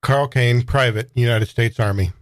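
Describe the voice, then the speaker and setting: A man playing a stubborn young army private in an improvised tabletop game, answering in a clipped deadpan. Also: medium pitch, moderate pace, no echo, clean studio sound, close to an everyday voice. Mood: deadpan, defiant.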